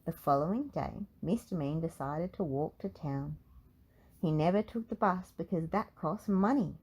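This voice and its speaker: A woman narrating a children's storybook in an expressive read-aloud style. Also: sits low, moderate pace, husky, rough recording.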